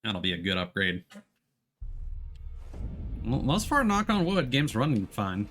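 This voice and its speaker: A man's voice: mid-range voice, very animated, a little slow, fairly bright tone, faint music behind. A man giving casual, easygoing live commentary as he plays a video game, thinking aloud. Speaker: game streamer.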